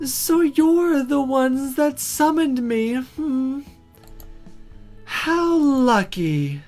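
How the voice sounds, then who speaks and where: A performer voicing a sultry female demon from a visual novel, teasing, with a slow, drawn-out, playful purr. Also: high voice, animated, a little mellow, faint music behind, pitched above the performer's own voice, full character voice. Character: newly summoned sharp-toothed female demon, sultry and teasing.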